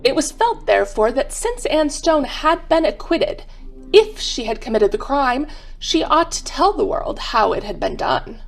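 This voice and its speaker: A woman narrating a radio mystery in a measured, formal, dramatic storytelling style. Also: high-pitched, very animated, gravelly, soft background music.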